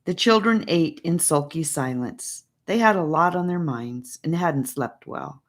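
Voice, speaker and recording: A woman narrating a children's fantasy audiobook in an expressive storytelling manner. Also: low voice, a little slow.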